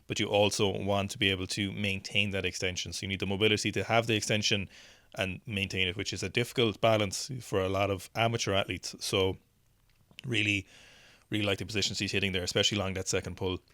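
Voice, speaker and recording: Male host, low-ish voice, casual chatty commentary, thinks aloud, dry close-miked sound. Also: flat delivery, fast, sharp tone, no room sound. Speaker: news show host. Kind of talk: casual video commentary on weightlifting clips.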